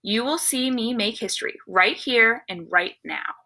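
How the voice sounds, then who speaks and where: A woman reader voices a proud old grandfather from a children's storybook. He sounds raised and bright, declaiming firmly and with determination. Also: on the high side, measured pace, vocal fry, full character voice.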